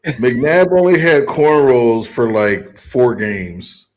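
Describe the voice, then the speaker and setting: A man co-hosting a casual sports podcast, thoughtful and halting, with many pauses and restarts. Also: medium pitch, animated. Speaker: podcast co-host.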